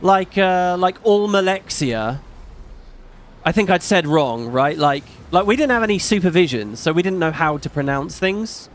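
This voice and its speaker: A middle-aged man with a British accent, chatting casually on his live stream; his voice is fairly high and not macho, and he rambles and swears casually. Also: animated, moderate pace.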